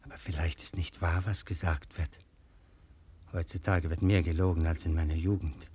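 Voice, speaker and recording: An old Malay ruler in a radio drama, weary and suspicious. His voice is very low and husky, and he speaks with measured authority, like one who commands but wants peace. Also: steady tone, a little mellow. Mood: brooding. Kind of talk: German radio play acting.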